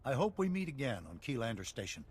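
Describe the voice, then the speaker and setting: An actor playing an earnest, resolute colony leader in a science-fiction video game, speaking in a formal, emphatic way. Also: male voice, medium pitch, big pitch swings, fast, a little mellow. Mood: grateful, earnest. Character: earnest middle-aged male colony leader, grateful and resolute. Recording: clear background noise and ambience.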